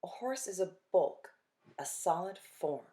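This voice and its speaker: A woman reads to children as a storytime reader, in a lowish voice and at a slow pace. Her delivery is expressive and deliberate, setting phrases apart with dramatic pauses. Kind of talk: expressive picture-book read-aloud.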